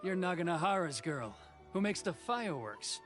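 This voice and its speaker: A man's voice: high voice, big pitch swings, moderate pace, a bit bright, soft background music. A man voicing a stern, proud swordsman officer in a video game, clipped and haughty in delivery. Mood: dismissive. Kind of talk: English video game voice acting.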